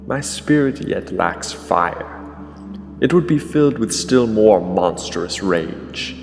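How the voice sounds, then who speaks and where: An actor playing a vengeful king tormented by rage in a classical tragedy, declaiming gravely and theatrically. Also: lowish voice, animated, slow, exaggerated voice. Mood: summoning, raging. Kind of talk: classical tragedy monologue, declaimed audio drama. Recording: long hall reverb, music underneath. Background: dramatic music.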